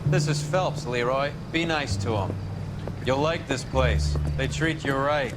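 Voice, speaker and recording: A man's voice: mid-range voice, unhurried, over loud music, lightly acted. An actor playing a brash, domineering male police detective of the 1940s in a crime game, talking with a sneer. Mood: swaggering.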